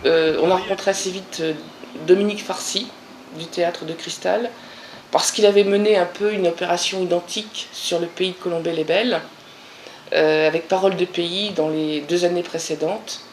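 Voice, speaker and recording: A woman's voice: on the low side, fairly even intonation, normal speed. A woman speaking as a local project organiser, recounting how a project came together in an interview. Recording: roomy echo, faint background noise.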